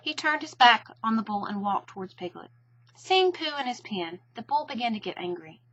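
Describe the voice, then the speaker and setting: Female children's story reader, higher-pitched, slightly husky, expressive, with dull lo-fi sound. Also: moderate pace.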